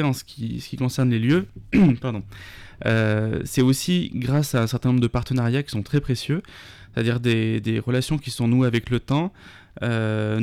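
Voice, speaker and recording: A man's voice: medium pitch, darkish tone, no room sound. A man, a festival organizer talking through a radio interview, full of fillers and restarts. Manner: hesitant, many fillers and restarts.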